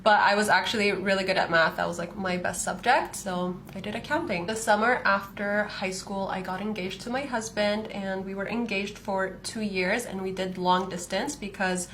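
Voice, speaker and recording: A woman's voice: medium pitch, fairly even intonation, normal speed, hardly any pauses, fairly bright tone, slight background noise. Chatty young woman vlogger. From Palestinian, born in Saudi Arabia, raised in Canada. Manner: chatty, frequent "like" fillers. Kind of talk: casual get-ready-with-me storytelling.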